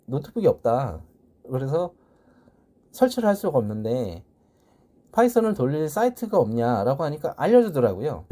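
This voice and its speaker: Guy doing a casual how-to, mellow voice, pitch moves around a lot, polite step by step. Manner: explains step by step in polite speech. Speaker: tutorial presenter, salesman who codes. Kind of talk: casual explanatory screen tutorial.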